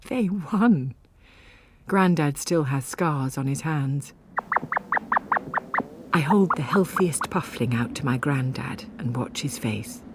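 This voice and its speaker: A woman's voice: very deep voice, background noise. A woman narrating a literary first-person story as a scripted audiobook reading.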